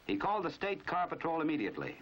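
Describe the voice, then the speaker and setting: A man's voice: fairly high pitch, huge pitch swings, quick pace, fairly bright tone. An American man narrating a 1950s crime training film in a knowing, dramatic storytelling manner. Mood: wry, measured. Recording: dull, band-limited 1950s film soundtrack.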